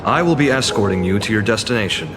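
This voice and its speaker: A man's voice: fairly low pitch, lively intonation, fast, very noisy, close to an everyday voice. A man voicing a courteous, formal male guide who is easily flustered in a video game.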